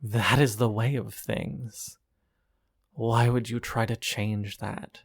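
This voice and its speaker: A narrator performing scripted horror fiction in a deliberate, dramatic manner, as a dying lover speaking in haunting reproach. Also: medium pitch, fairly even intonation, no room sound, clean studio sound. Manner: slow, deliberate, dramatic, with pauses.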